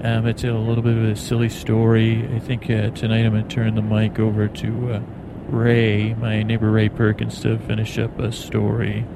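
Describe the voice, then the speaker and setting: A man hosting a bedtime podcast in a meandering, halting ramble, stammering and repeating words. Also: on the low side, vocal fry. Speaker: podcast host. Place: on an airplane in flight. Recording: steady airplane cabin noise behind voice. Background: airplane cabin drone.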